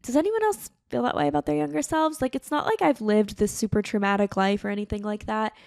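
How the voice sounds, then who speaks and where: Podcast host, woman, late 20s, slight vocal fry, close and dry sound, earnest solo chat. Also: on the high side, fast, darkish tone, no room sound. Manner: chatty, earnest, heavy on fillers. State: tearful, emotional. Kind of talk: casual solo podcast monologue.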